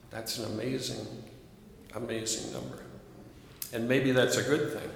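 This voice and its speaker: A man's voice: medium pitch, vocal fry. An older man from the Midwest, a minister giving a reflective, earnest sermon. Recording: echoey hall, long reverb.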